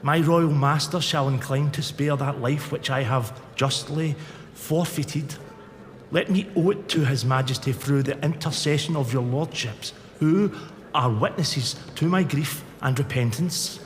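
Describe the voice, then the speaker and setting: A man's voice: fairly high pitch, unhurried, close to an everyday voice. A man playing a remorseful condemned earl. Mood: solemn pleading. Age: 40s. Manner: earnest, formal courtroom pleading. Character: condemned Scottish earl, middle-aged, remorseful and pleading. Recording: long hall reverb, faint background hiss.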